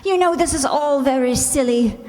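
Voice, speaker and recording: An actress playing an indignant, sceptical captive in a radio play, with an exasperated manner. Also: female voice, high-pitched, normal speed, a little mellow, lightly acted. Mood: weary, scornful. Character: indignant, sceptical woman captive, dragged along. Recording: echoey hall, long reverb.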